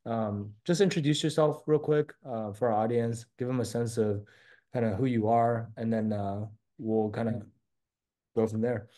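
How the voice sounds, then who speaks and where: A man who moved to the States from Taiwan as a child, hosting an interview in a relaxed, casual way with many fillers. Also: mid-range voice, moderate pace. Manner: casual, friendly, lots of fillers like "um" and "like". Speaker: host.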